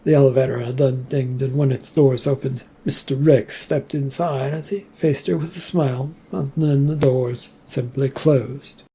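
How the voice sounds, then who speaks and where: A reader narrating a story in a plain, even manner. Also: medium pitch, moderate pace, few pauses, husky, low-quality recording. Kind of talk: reading fiction aloud.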